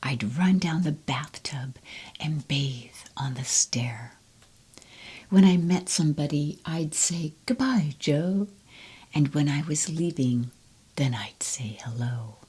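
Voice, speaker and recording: A woman reading children's poems aloud in a soft, gentle whisper, close to the mic. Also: deep voice, slow pace, breathy edge. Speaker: storybook reader. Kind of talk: whispered ASMR poetry reading.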